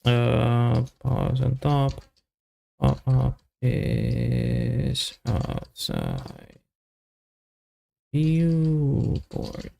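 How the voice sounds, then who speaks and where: A man thinking aloud as he hosts a live coding stream, muttering in halting, half-finished phrases. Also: medium pitch, big pitch swings, gravelly, warm tone.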